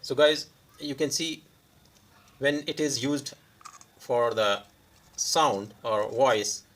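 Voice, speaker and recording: A man who is a non-native English speaker gives an unscripted hands-on repair demonstration, pausing mid-sentence in short phrases. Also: mid-range voice, fairly bright tone. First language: not English. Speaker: electronics repair tutorial host.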